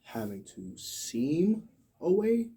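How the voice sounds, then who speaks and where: A young American man with a husky, mellow voice, a bit away from the mic. He talks freely and emphatically, full of slang, in a casual podcast conversation. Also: a little high, very animated, slow, far from the mic. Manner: talkative, emphatic, slang-heavy.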